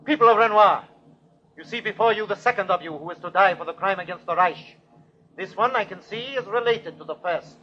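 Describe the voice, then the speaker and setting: Ruthless German captain, dull old recording. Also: male voice, high-pitched, brisk, pushed and bright, slight background noise. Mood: harsh, declamatory. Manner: commanding, clipped, declamatory. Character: ruthless, fanatical German army captain commanding executions. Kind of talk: old-time radio drama acting. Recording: dull vintage radio recording.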